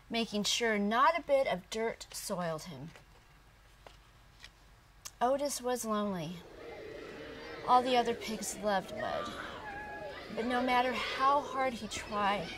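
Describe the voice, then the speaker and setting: A woman narrating a children's picture book in a deliberate, expressive storytelling manner. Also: fairly high pitch. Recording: distant from the microphone.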